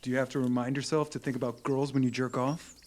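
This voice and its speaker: A man's voice: mid-range voice, little pitch movement, fast, close to an everyday voice. An actor playing a crude, mouthy teenage boy who is vulgar and sarcastic. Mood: mocking. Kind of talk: audio drama acting.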